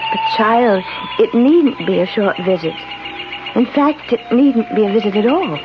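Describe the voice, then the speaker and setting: Serene, mysterious woman, calm and soothing. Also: on the high side, unhurried, background noise. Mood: inviting. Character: serene, mysterious, beautiful woman guarding a magic garden. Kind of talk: 1970s radio drama acting. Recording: muffled 1970s radio broadcast with music.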